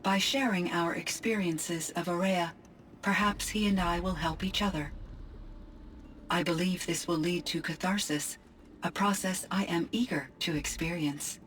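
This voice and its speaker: Measured, low-voiced female AI. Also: steady tone, a bit bright, a little hiss or hum. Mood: earnest, reflective. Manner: measured, formal, precise diction. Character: calm, curious, earnest female artificial intelligence. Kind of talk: video game voice acting.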